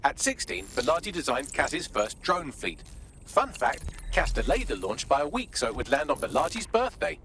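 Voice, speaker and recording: Bright, sardonic briefing man. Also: on the high side, big pitch swings, normal speed, creaky, slight background noise, full character voice. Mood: brisk, informative. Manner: sardonic, articulate briefing delivery. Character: wry, sardonic male intelligence briefer, lively and sharp. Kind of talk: video game cutscene acting, mission briefing.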